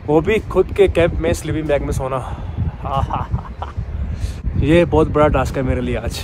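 Young man, slightly higher-pitched voice, informal travel vlog chat, outdoor campsite, faint background noise. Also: fairly quick. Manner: informal, bro-peppered talk. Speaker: solo travel vlogger. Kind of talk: casual chat to camera.

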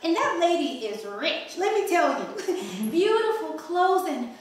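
A woman's voice: very high voice, big pitch swings, unhurried, heavily acted. A woman reading the part of an eager, chatty, artless twelve-year-old Trinidadian girl in a staged play reading. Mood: boastful. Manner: artless, boastful, giggly. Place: wood-paneled mansion room. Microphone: distant camera microphone. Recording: very echoey room, distant mic, faint noise.

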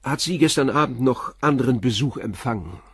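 Calm stubborn police commissioner, short probing questions, slightly husky, dry studio sound. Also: male voice, mid-range voice, a little fast, dry recording. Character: calm persistent middle-aged police commissioner. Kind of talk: radio drama acting.

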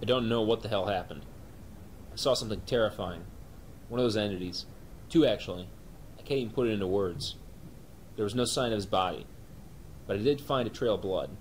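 A man playing a shaken, solemn police officer in an analog horror drama, speaking in a halting, matter-of-fact way. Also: lightly acted. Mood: shaken, grim. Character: grim, shaken male police officer investigating monsters. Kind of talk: analog horror found-footage acting. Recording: noisy, rumbling amateur recording.

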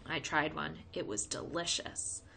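A woman reads a chapter book aloud for children. Her voice is bright and a little low for a woman, and her delivery is expressive, shaped like storytelling. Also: measured pace, gravelly, slightly rough. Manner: expressive reading.

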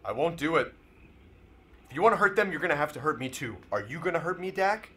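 A man's voice: fairly high pitch, animated, quick pace, pushed and bright. An actor playing a resigned, earnest man on the run, pleading with his lover in an audio drama. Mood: firm, coaxing.

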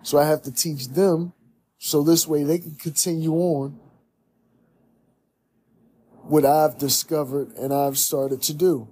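A man's voice: a little high, little pitch movement, slow, long pauses, mellow, a little hiss or hum. A young man talking alone to a close camera in a small room, earnest and preachy, often repeating himself. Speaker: podcast host. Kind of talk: reflective monologue.

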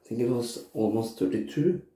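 A man in his thirties with a cold and a stuffy nose, reviewing shaving soap casually in his bathroom while shaving on camera. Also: mid-range voice. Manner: slow, relaxed, frequent uh and um pauses. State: congested from a cold, sore throat. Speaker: shaving vlogger. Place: small bathroom indoors. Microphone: camera at arm's length. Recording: echoey bathroom.

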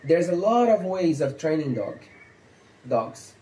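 A man in his thirties with a Brazilian accent, a stock dog trainer explaining training basics in halting, earnest English, seated on an outdoor patio. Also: fairly high pitch, very animated, warm tone, echoey room, low-quality recording. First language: Portuguese. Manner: halting, earnest, non-native phrasing with fillers. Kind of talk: instructional talk to camera.

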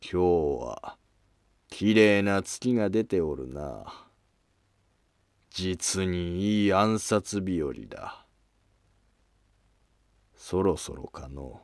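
Guy doing a calm plotting court noble, old formal japanese wording, low voice, history drama. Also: very slow, pauses often, no echo, studio quality, full character voice. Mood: musing. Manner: formal archaic period speech. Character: male court noble, calm, scheming, self-sacrificing.

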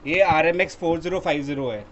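A man in his thirties from India giving an informal sales pitch as a shop representative, mixing in English words. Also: fast talker. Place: indoor electronics showroom. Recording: dull sound with background noise.

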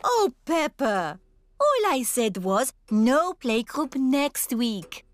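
A woman's voice: high voice, very animated, slow, dry recording, clean studio sound, heavily acted. A woman voicing a kindly gazelle teacher in a children's cartoon. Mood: reassuring. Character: Madame Gazelle, kindly female gazelle playgroup teacher.

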